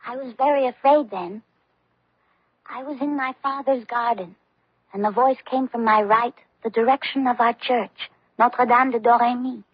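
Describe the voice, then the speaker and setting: A woman's voice: a little high, moderate pace, slightly rough, no room sound. An actress playing a solemn, steadfast nineteen-year-old French peasant girl, devout and defiant, in a radio drama. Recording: dull lo-fi old radio recording.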